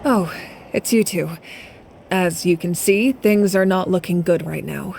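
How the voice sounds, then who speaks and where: A woman playing a grave, resolute young leader in a video game, speaking in a measured, authoritative way. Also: lowish voice, vocal fry, darkish tone, slight background noise. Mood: brisk, serious. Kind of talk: dramatic video game dialogue.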